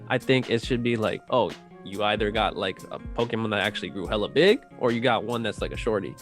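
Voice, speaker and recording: A man's voice: lowish voice, fast, a bit bright. A man chatting casually in loose slang, swearing freely as he gives his opinions. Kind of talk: casual chat about video game movies. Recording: music playing behind the voice. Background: music.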